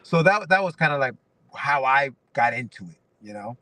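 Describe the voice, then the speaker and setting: A man's voice: mid-range voice, animated, normal speed, bright, forceful tone, distant mic, rough recording. A man in his forties from Ecuador, a guest comic writer chatting casually in an interview, rambling with many restarts and fillers. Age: 40s.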